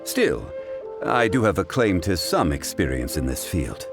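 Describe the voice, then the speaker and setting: An actor playing a composed, scholarly gentleman consultant in a video game, speaking in a measured, formal, eloquent way. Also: sits low, big pitch swings, vocal fry, full character voice. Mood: composed, modest. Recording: music behind the voice.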